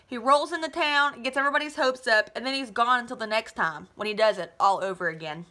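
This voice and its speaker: A woman reader plays a tired mother in a storybook. She is gentle and apologetic, with a resigned, soothing way of talking. The voice is fairly high and clear-toned. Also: fast talker.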